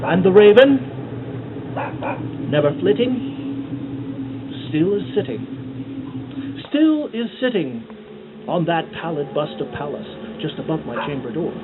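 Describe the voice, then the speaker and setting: A muffled outdoor recording with heavy noise carries a man reciting poetry. He is theatrical and very slow, leaving deliberate pauses between phrases. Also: fairly high pitch, sing-song. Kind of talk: dramatic poetry reading. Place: outdoors at night by a cornfield.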